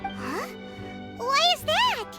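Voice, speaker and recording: A tiny, chirpy game fairy with an extremely high, squeaky voice that bounces around in pitch. She is curious and outspoken like a child. Loud music plays underneath. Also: huge pitch swings, drawn-out words, full character voice. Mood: puzzled. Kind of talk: video game character acting.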